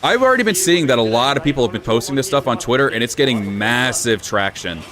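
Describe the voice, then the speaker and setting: A young man streaming, chatting casually in an even, low-key way with sudden bursts of excitement. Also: fast talker. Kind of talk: casual live-stream reaction chat.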